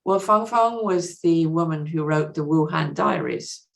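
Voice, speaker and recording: A woman's voice: deep voice, lively intonation, unhurried, vocal fry. A woman speaking as a podcast guest and translator, in a thoughtful, hesitant conversational way with explanatory asides. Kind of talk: conversational interview, reading out a message.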